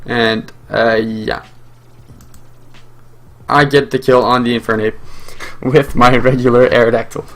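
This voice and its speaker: A man's voice: medium pitch, fairly even intonation, measured pace, long pauses, a bit bright. A young man whose first language is French, hosting his own channel and giving live, rambling commentary over a game with lots of fillers and restarts. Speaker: gaming channel host.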